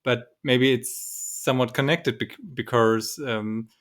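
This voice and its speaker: A man's voice: medium pitch, fairly even intonation, measured pace, a bit bright. A man co-hosting a podcast, hesitant and thinking aloud as he talks. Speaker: podcast co-host. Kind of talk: podcast interview.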